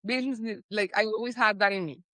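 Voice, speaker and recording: Colombian woman guest, hesitant and rambling, bright crisp voice, dry sound. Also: mid-range voice, steady tone, quick pace, no room sound. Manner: rambling, hesitant, many fillers and restarts. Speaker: guest, business founder. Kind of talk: casual interview chat.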